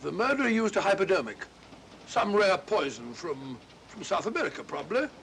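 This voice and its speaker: Bumbling English doctor, high-pitched, halting, blustery, genial, vintage mystery film, hissy soundtrack. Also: male voice, very animated, a bit bright, full character voice. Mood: pompous, eager. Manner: blustery, halting, repeats himself. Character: bumbling, genial, self-important older doctor, detective's loyal friend. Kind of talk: 1940s British mystery film acting.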